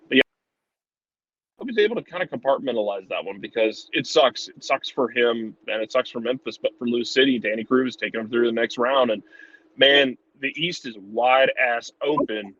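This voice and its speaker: A man's voice: medium pitch, a bit bright, dry recording, highs cut off. A man giving casual sports analysis in an informal, conversational way, repeating words for emphasis. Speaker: soccer show commentator.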